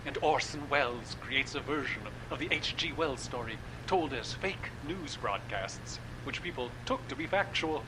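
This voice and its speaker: A man's voice: medium pitch, normal speed, few pauses, background noise, natural voice. An actor playing a genial male radio horror-drama producer, talking with relish about spooky stories. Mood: wry. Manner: theatrical, relishing spooky talk.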